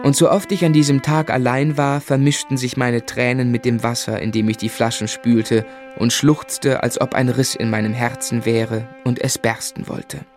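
First-person narrator for literary radio drama, male actor: medium pitch, measured, reflective, clearly audible music underneath. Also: little pitch movement, close to an everyday voice. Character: grown man recalling his lonely, miserable boyhood.

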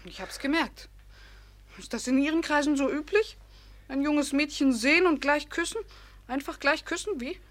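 An actress playing a pert young gentlewoman who poses as a shy peasant girl in a German radio play. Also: high-pitched, moderate pace, close to an everyday voice. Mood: pert, teasing. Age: young adult. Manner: coy, teasing, hesitant when fibbing.

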